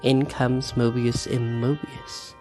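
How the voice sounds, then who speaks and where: A woman narrates a roleplaying adventure as its game master. Her voice sits low and moves at a slow, deliberate pace, with theatrical suspense in the phrasing. Soft music plays behind her. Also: little pitch movement. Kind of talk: dramatic tabletop roleplay narration. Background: background music.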